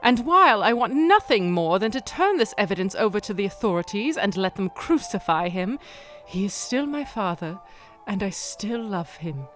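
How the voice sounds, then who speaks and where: A young upper-class heiress in a radio drama. She is poised and determined, with very expressive pitch and a middling register. Light music sits behind her. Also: moderate pace. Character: young aristocratic heiress, resolute, coolly determined debutante.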